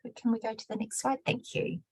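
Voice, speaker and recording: A woman's voice: a little high, little pitch movement, breathy edge, no echo, studio quality. New woman hosting a webinar. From New Zealand. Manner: measured, with Māori greetings and fillers. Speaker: webinar host and research director. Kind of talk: scripted webinar introduction.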